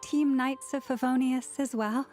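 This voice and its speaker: High-voiced, innocent young woman. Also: fairly even intonation, measured pace, over music. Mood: curious. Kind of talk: video game character acting.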